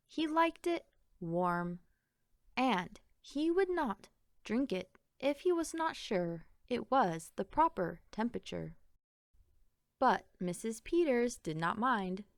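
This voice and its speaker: Slow, measured woman reading a children's picture book. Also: on the high side, dry recording, studio quality. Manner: measured, expressive, sing-song phrasing. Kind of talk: read-aloud children's storytelling in rhyme.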